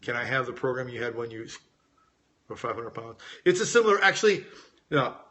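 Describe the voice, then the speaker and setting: A man's voice: medium pitch, very animated, brisk, sharp tone. A middle-aged American man in a casual live-stream chat, reading out viewer questions and answering them informally as a coach. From United States, grew up in Las Vegas. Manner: informal, conversational, addresses viewers directly. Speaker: weight-loss coach hosting a live Q&A.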